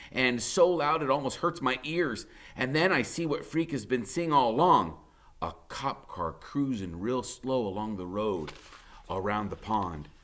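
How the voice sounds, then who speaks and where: A man's voice: fairly low pitch, a bit bright. A man reading a novel aloud as dramatic first-person narration, emphatic and suspenseful.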